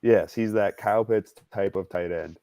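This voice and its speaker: A man's voice: lowish voice, moderate pace, mellow, no echo. A man in his twenties giving casual football analysis as a draft analyst. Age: 20s.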